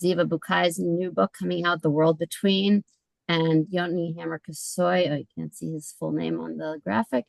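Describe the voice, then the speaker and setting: Chatty low-voiced woman hosting a call. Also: flat delivery, moderate pace, vocal fry, dry recording, low-quality recording. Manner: chatty, laughs often, many fillers. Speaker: event host and moderator. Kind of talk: casual moderating on a video call. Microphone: video call.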